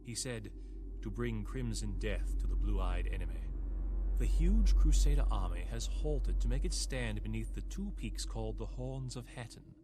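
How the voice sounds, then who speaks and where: Actor as low-voiced weary captive knight. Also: male voice, expressive, background noise, close to an everyday voice. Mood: solemn, weary. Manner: measured, solemn storytelling. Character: weary captive European knight chronicling his captors' war. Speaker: campaign narrator. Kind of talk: scripted dramatic narration.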